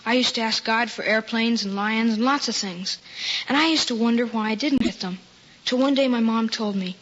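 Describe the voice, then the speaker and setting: A man's voice: very high-pitched, normal speed, fairly bright tone. A young man giving an earnest, scripted appeal in an old-time radio style. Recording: dull, reverberant, hissy old radio broadcast.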